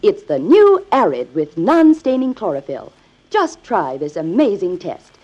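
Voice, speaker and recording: A woman announcer giving an emphatic, instructional scripted sales pitch in 1950s radio advertising style. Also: wildly swooping pitch, measured pace, warm tone. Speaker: commercial announcer. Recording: dull, lo-fi old radio recording.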